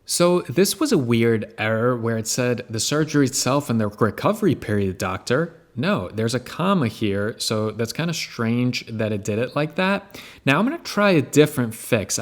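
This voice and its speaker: A man narrating a software tutorial in a casual, step-by-step way as he demonstrates on screen. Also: mid-range voice, very animated, normal speed.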